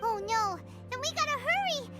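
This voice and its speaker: A woman voicing a small, naive, excitable fairy companion in a video game, with a squeaky childlike voice. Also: normal speed, faint music behind, full character voice. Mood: alarmed. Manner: speaks of self in third person, exclamatory. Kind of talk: English video game voice acting.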